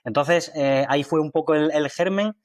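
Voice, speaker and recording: A man from Málaga with an Andalusian accent, a guest talking through an interview in a halting way with many fillers and restarts. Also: on the high side, no room sound, clean studio sound. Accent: Andalusian Spanish from Málaga. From Málaga, Spain. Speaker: guest, water industry engineer. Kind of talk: conversational interview.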